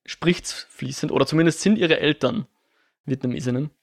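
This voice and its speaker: A man's voice: on the high side, normal speed, vocal fry. A man co-hosting a casual film discussion podcast, thinking aloud with many restarts and self-corrections.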